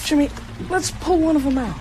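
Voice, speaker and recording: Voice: high-pitched, expressive, brisk, a little mellow. An actor in an audio drama playing an earnest, gutsy young boy hunting vampires, blunt and eager. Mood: grim. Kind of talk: broadcast audio drama acting. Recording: clear effects noise behind voice.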